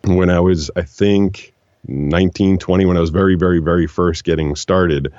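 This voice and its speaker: A man with a very low, deep voice tells stories in an animated, relaxed way, slipping into other voices when he quotes people. The room is dry and close. The sound is a little dull and lo-fi. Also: normal speed, no echo. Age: 40s. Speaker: guest, voiceover actor. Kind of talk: relaxed storytelling conversation.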